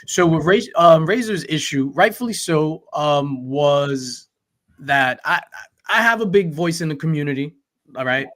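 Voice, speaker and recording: A man's voice: on the high side, lively intonation, measured pace, fairly bright tone. A man hosting a livestream, earnestly explaining his side at length with fillers. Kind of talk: casual chat.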